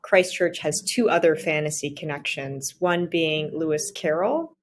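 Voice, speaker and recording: A woman's voice: sits low, unhurried. A young woman chatting casually as a podcast guest, with thoughtful, wry and slightly wordy phrasing. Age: young adult. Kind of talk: casual chat.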